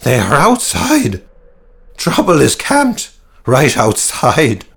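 A man's voice: medium pitch, huge pitch swings, slow, gravelly, fairly bright tone, voice pushed up, exaggerated voice. A man voicing a frightened, drunken police sergeant, pitiful and pleading, in an audiobook story. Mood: fearful. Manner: sobbing, pleading.

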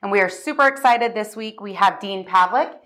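A woman's voice: mid-range voice, a little fast, a bit bright. A woman hosting a podcast, chatting in a bubbly way with plenty of fillers as she interviews a guest. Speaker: podcast host. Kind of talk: casual interview.

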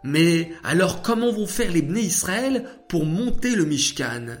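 Storyteller doing a gentle encouraging dad in a kids story, very expressive, soft music bed behind. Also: mid-range voice, unhurried, voice pushed up. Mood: proud, encouraging. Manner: gentle, affectionate, instructive. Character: kind, patient father, learned and encouraging.

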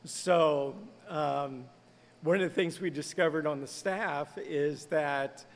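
A pastor talks in an earnest, conversational way, his voice a little high with a gravelly edge. The room carries a long reverb behind him. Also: slow pace, slight background noise. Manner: conversational, earnest, lightly laughing. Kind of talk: podcast interview.

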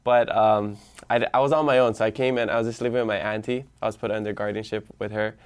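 A young man speaks in a low, smooth, resonant voice. He is a Hawaiian speaker and a guest on an interview show. He tells his story in an easygoing way, laughing often and restarting his sentences. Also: steady tone, fast talker. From Hawaii Island. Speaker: podcast host as guest.

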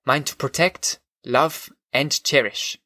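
Man putting on an earnest German-accented gentleman narrator. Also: mid-range voice, steady tone, no echo, studio quality. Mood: earnest, devoted. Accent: put-on German. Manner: formal, measured storytelling. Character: earnest German-accented gentleman recounting his childhood, classic novel. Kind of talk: audiobook narration of classic novel.

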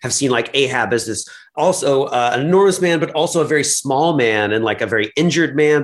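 Thoughtful man in casual discussion. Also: medium pitch. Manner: thoughtful, appreciative, searching for words. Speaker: director and adapter, interviewing the actor. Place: remote video call.

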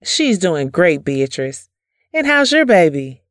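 An actress playing a gentle, trusting wife and mother, politely spoken, in an audio drama. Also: on the low side, big pitch swings, slow pace, no echo, clean studio sound. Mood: friendly.

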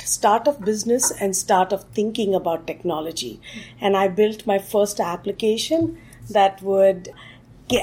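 A woman from India, a technology executive answering interview questions thoughtfully and often pausing on "uh". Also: mid-range voice, slightly rough. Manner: thoughtful, with frequent "uh" fillers.

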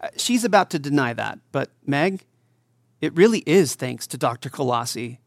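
A man's voice: medium pitch, animated, moderate pace, gravelly, no echo, clean studio sound. A man playing a supportive doctor and advocate, speaking sincerely and articulately in an audio drama interview. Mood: admiring, sincere. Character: earnest, supportive male doctor and survivor advocate.

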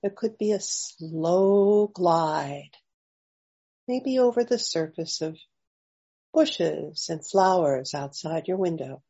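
Slow, husky, mellow woman guiding meditation. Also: on the low side, animated. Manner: gentle, unhurried invitations with long pauses. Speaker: guided meditation leader. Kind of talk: slow guided meditation narration. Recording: slightly dull, lo-fi audio.

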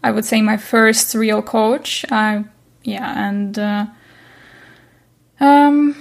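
A woman's voice: on the high side, fairly even intonation, drawn-out words, creaky. A young woman from Ukraine with a Ukrainian accent, speaking non-native English hesitantly as an interview guest. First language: not English. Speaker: interview guest, chess coach. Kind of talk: casual interview chat.

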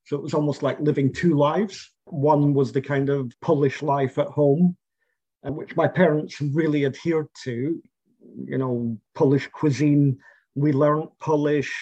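A man's voice: a little high, steady tone, dark tone, breathy edge, no echo. Reflective middle-aged man, dry lo-fi sound. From Scotland. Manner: reflective, measured, with fillers and restarts. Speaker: interviewee. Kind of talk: oral history interview. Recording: dull, lo-fi and dry.

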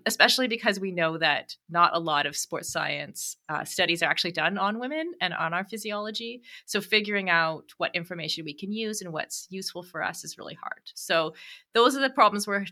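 A woman in her thirties, a podcast guest talking about her business, chatty and quick to laugh. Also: on the low side, sharp tone. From Canada and the Middle East. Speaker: guest, media business owner. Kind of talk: casual interview chat.

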